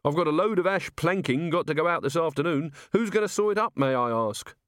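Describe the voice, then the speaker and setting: A man's voice: a little high, expressive, fairly quick, a bit bright, dry recording, clean studio sound, pitched above the performer's own voice. A man voicing a blunt working-class male timber merchant with a colloquial, complaining manner in an audiobook. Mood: aggrieved.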